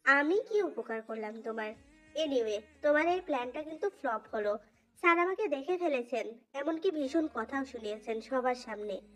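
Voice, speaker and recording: A woman's voice: sits high, lively intonation, moderate pace. A woman voicing a naive, complaining young female college student in a dramatised fan fiction. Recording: faint music under voice. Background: faint background music.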